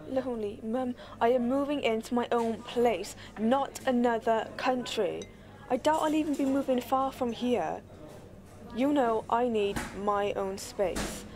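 A woman's voice: on the high side, a little slow, darkish tone. A young woman playing a frustrated grown-up daughter who argues for her independence in radio-play drama. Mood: pleading, impatient. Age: young adult. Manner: exasperated, argumentative. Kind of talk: low-key dramatic radio-play dialogue.

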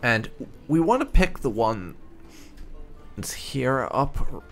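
A man's voice: medium pitch, sing-song, takes it slowly. A man hosting a gaming channel, giving casual, rambling let's play commentary as he thinks aloud. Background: faint game music.

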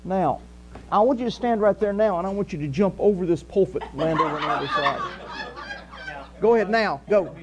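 A man's voice: high voice, brisk. An older man with a Southern US accent, preaching a conversational sermon as pastor in a small church hall. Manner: conversational preaching, animated gestures, walks the stage. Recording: faint mains hum.

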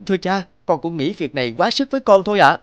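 An actor playing a brave, earnest young prince in an animated fairy tale. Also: male voice, high voice, fast talker, no room sound, lo-fi sound. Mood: humble.

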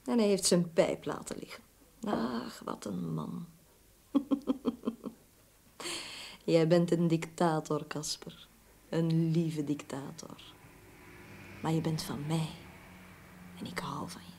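An actress playing a devoted, teasing female assistant and sweetheart, wry and expressive, in an old radio drama. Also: sits low, takes it slowly, gravelly, pauses often, breathy edge, heavily acted. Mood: tender, wistful.

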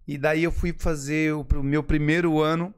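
A middle-aged man with a Florianópolis accent, a podcast guest telling stories in a playful, joking way, recorded in a small studio on a boom mic. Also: level tone, moderate pace, clean tone. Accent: Florianópolis Portuguese. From Florianópolis, Brazil. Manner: playful, jokey, reflective asides. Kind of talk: casual storytelling. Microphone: headset with boom mic.

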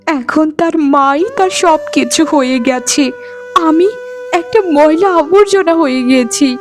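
A woman plays a rejected young wife in a cartoon melodrama. The voice is extremely high, humble and full of lament. Music runs underneath. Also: a little slow. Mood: despairing. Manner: plaintive, lamenting.

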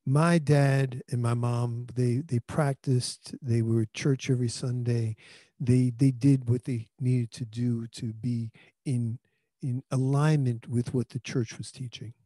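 New guy in his 50s giving a sermon, warm relaxed tone, handheld mic, talks like a conversation and restarts a lot. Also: mid-range voice, a little slow, dry recording. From New York. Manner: earnest conversational preaching with frequent restarts. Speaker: pastor. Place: small indoor room. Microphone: handheld microphone.

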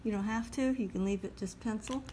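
A woman calmly talking through a step-by-step drawing lesson as she sketches at a table. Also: mid-range voice, slight background noise. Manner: calm, unhurried, talks while drawing. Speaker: drawing instructor. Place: at a table indoors.

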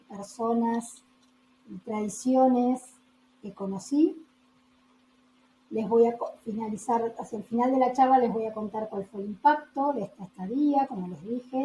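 An Argentine woman in her forties delivers a prepared academic talk at a measured pace. Her voice is mellow and fairly high, and she stretches key words for emphasis. The room is echoey, with a faint hiss behind her. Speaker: geneticist giving a recorded talk.

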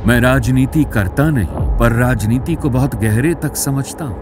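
A man's voice: medium pitch, normal speed. A man delivering a dramatic scripted promo voice-over in a solemn, declarative manner. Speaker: presenter in a channel promo. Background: loud dramatic music.

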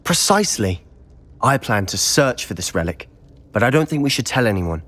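A man's voice: mid-range voice, sing-song, moderate pace, fairly bright tone. A young man with a British accent playing a defiant, secretive teenage wizard in a video game, earnest and insistent. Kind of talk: video game cutscene acting.

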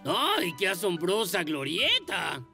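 Casting: grown-up cartoon scooter lady, low with some gravel and lively intonation, music behind. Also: takes it slowly, exaggerated voice. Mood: impressed. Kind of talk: children's animated cartoon dub.

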